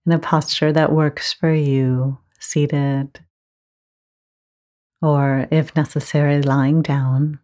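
A woman teaching guided meditation, giving gentle, measured instructions with frequent pauses. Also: low-pitched, flat delivery.

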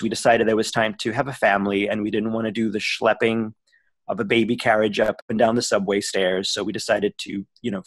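A man's voice: on the low side, no room sound. A man in his forties talking as a guest over a video call from home, telling his life story chattily with many "you know" and "um" fillers. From born in Switzerland, raised in South Africa, Israel and the US. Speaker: guest, actor and writer. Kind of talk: casual interview. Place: home room with bookshelves.

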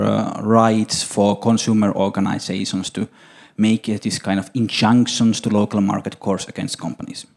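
A middle-aged man with a Finnish accent answers audience questions on a conference stage into a handheld mic, measured and hesitant, with many fillers. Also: lowish voice, gravelly, a little mellow. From Finland. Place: conference stage, large hall.

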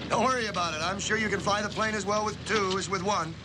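A man's voice: high-pitched, steady tone, quick pace, lightly acted. An actor playing a curt, controlling, dismissive man in a mid-century television drama. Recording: very noisy, vehicle-like ambience.

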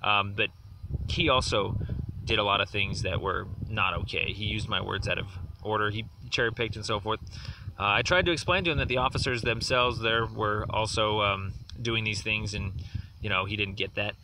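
A man's voice: on the low side, steady tone, moderate pace. A man leaving a long voicemail complaint, insistent and aggrieved, repeating himself as he builds his case. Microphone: phone. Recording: distant voice with faint noise on a phone voicemail.

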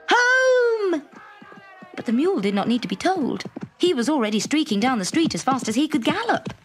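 A woman narrating a scripted children's story in an expressive, dramatic storytelling manner. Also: high-pitched. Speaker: storyteller, audiobook reader.

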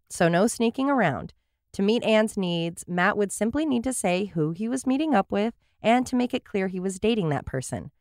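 Woman true crime host, wry and sarcastic; low voice, dry close sound. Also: no echo. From Portland area, USA. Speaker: podcast host telling a true crime case. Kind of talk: scripted true crime narration with asides.

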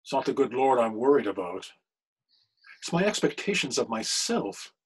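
A man playing an anguished, scholarly clergyman in a period radio mystery, speaking with formal, deliberate diction. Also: mid-range voice, big pitch swings, slightly rough, rough recording. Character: anguished, scholarly older clergyman posing as crime boss.

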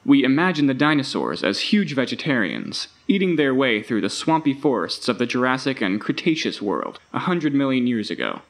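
A man's voice: medium pitch, sing-song, moderate pace, few pauses. A man voicing a male park tour recording that welcomes visitors and explains the plants and animals. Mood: welcoming.

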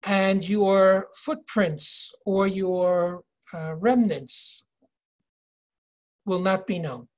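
An older man in his sixties leading a virtual tour lecture from home over a video call, measured and explanatory, reading inscriptions slowly. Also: high voice. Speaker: tour guide and educator. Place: home office, indoors. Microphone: video call webcam.

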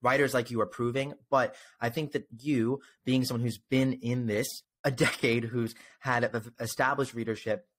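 A man in his twenties with a medium-pitched voice talks as a podcast host. He is conversational and earnest, with an encouraging way of putting things, speaking close to a desk mic in a room at home. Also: steady tone, moderate pace, hardly any pauses, fairly bright tone. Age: 20s. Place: small room at home, indoors. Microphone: close desk microphone.

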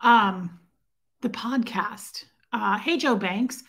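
Brief: woman, 40s, bright and forceful tone, a bit scratchy, chatty livestream host at a desk webcam in her living room. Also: medium pitch, extremely slow, creaky. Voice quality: slightly scratchy, strained throat. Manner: chatty, distracted, reads out viewer names. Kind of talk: casual livestream chat.

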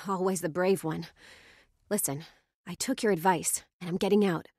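A woman's voice: on the low side, fairly even intonation, normal speed, darkish tone, breathy edge, no room sound, clean studio sound. An actress playing a young woman friend of the hero in a video game, teasing and affectionate. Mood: fond.

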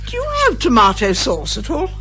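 An actress playing an eccentric, hearty British amateur sleuth in a radio comedy, confident and fond of lecturing. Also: female voice, a little high, wildly swooping pitch, brisk, pushed and bright, soft background music, full character voice. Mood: relishing food. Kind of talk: 1980s radio comedy detective drama acting.

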